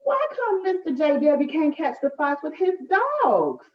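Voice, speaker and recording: A woman as high-voiced curious country girl Flossie. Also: big pitch swings, a little slow. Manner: rural Southern dialect wording. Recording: echoey room, distant muffled mic.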